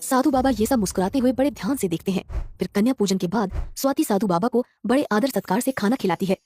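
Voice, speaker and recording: A woman's voice: medium pitch, quick pace, a little mellow, breathy edge. A woman reading scripted narration for a cartoon moral story.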